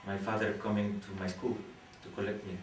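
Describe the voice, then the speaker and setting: Guatemalan man speaking halting English. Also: low-pitched, level tone. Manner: halting, many fillers and restarts, thoughtful. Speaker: PhD student in astronomy. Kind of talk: interview, explaining his research. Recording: roomy, distant mic with faint background noise.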